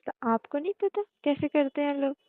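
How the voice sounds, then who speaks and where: Coy high-voiced woman on a phone line. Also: lively intonation, moderate pace, dry recording. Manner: coy, hesitant, questioning. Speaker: girlfriend on a phone call. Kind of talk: casual intimate phone chat. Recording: tinny, band-limited phone call recording.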